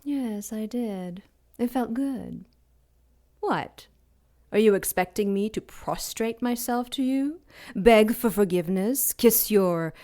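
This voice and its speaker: A woman's voice: mid-range voice, darkish tone, natural voice. An actress playing an ancient female demon in an audio drama, proud, wicked and taunting. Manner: sardonic, taunting.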